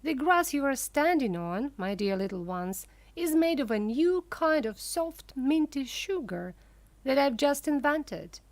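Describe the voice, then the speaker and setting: A woman reading aloud and voicing an eccentric, excitable male chocolate maker who gushes proudly over his creations. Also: high voice, unhurried, dry recording, pitched above the performer's own voice, heavily acted. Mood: gushing. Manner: gushing, showy and proud.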